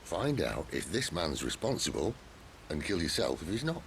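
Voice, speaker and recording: An actor playing a gruff, wry, middle-aged British outlaw leader in a video game cutscene, blunt and sardonic with a gravelly voice. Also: male voice, low voice, sing-song, moderate pace, background noise. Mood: blunt, urging.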